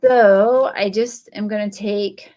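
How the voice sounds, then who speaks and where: A woman's voice: medium pitch, steady tone, slow, dark tone. A woman with a Texan accent hosting a live crafting stream, chatting in a rambling, friendly way with viewers as she works. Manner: chatty and rambling, talks to viewers while working; friendly, answers viewer comments. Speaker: craft livestream host. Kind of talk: casual live crafting chat.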